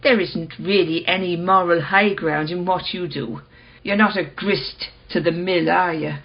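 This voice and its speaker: An actress playing a cool, probing woman who will not budge, measured and blunt, in a radio play. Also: fairly low pitch, fairly even intonation, dull audio. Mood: challenging. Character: cool, probing, unyielding female owner of rare mirror.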